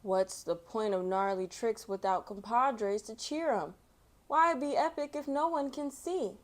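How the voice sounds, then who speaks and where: A woman hosting a storytime, reading aloud for children in an expressive way and chatting casually between passages. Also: on the high side, a little slow. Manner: expressive, acts out sound effects and exclamations. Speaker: storytime host reading a picture book.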